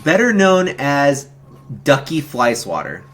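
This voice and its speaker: A man hosting a casual film commentary stream, talking in a halting, rambling, slangy way. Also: on the high side, wildly swooping pitch, fairly bright tone. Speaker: host of a film commentary stream, amateur horror filmmaker.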